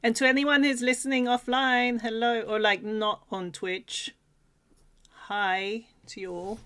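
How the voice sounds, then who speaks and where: A woman's voice: a little high, level tone, unhurried, a bit bright, clear, resonant tone. A woman from Sweden co-hosting a livestream in a casual, chatty, giggly manner. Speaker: co-host of a livestream talk show.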